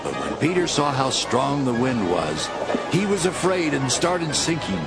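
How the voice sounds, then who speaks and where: A man narrating scripture as a scripted, dramatic story in a measured storytelling manner. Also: mid-range voice, very animated. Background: instrumental music.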